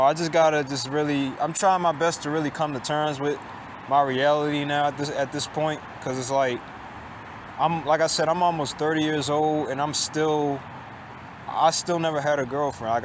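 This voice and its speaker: A man in his late twenties talking in a casual confessional monologue, halting and repetitive, restarting often and swearing, with a resigned tone. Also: on the high side, fairly even intonation, background noise. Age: late 20s. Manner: resigned, downcast tone. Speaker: vlogger. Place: outdoors on a college campus.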